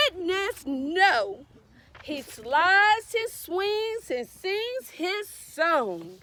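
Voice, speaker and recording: A woman's voice: very high-pitched, very animated, drawn-out words, pushed and bright. A woman reading a children's storybook aloud in a sing-song, encouraging way, with emphatic repetition and questions to young listeners. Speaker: read-aloud storyteller, librarian.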